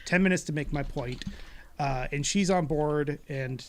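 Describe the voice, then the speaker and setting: Brief: middle-aged male host, slightly high voice, intense and big-voiced in casual chat. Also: a little slow, slight background noise. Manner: intense, loud, talks with big energy. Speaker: podcast host.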